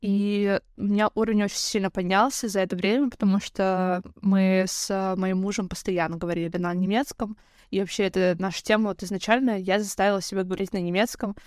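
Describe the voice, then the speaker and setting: A woman hosting a podcast in a casual chat, chatty and quick to laugh and jump in. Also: medium pitch, fairly even intonation, no room sound, clean studio sound. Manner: chatty, laughs often, jumps in. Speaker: podcast host.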